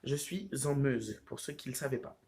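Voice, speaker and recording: A teenage boy chats casually into the camera at home. He rambles, stacking fillers and restarts as he goes. His voice sits at a middle pitch. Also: fairly quick, darkish tone. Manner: rambling, heavy use of fillers like "genre" and "euh". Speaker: vlogger. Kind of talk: casual vlog chat.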